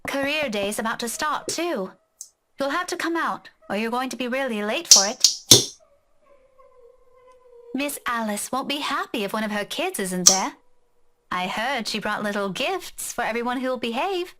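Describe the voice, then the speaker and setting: Man doing a soft young woman's voice, high, coaxing and friendly, steady hiss in the background. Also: moderate pace, long pauses. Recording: clear background noise.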